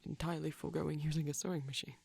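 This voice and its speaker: A man playing a shy, gentle vampire boyfriend in intimate ASMR roleplay, speaking softly and hesitantly, often close to a whisper. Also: fairly high pitch, little pitch movement, racing. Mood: softly enthusiastic, hesitant. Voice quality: soft, breathy, husky, often near a whisper. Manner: hesitant, bashful, rambling tenderly.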